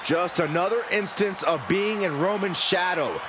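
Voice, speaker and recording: A man's voice: high voice, moderate pace, a bit bright. A man as an opinionated color commentator in a wrestling video game, with a noisy crowd behind. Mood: pointed.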